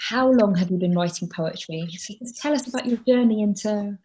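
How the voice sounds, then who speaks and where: Host: woman, mellow mid-pitched voice, effusive and rambling, video call. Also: a little fast, poor audio. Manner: effusive, rambling, with fillers and restarts. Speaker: interviewer and fellow poet. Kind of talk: conversational interview.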